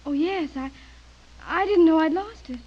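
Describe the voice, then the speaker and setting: A defiant teenage daughter in a radio thriller. Her voice is very high, with a solemn, evasive edge. The recording sounds dull and lo-fi. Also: normal speed. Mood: evasive, flustered. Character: intense, superstitious seventeen-year-old girl, defiant daughter. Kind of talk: radio drama acting.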